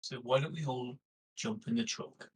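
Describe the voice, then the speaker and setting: A man's voice: mid-range voice, normal speed, husky. A man playing a tabletop roleplaying game, casually offering suggestions to the other players.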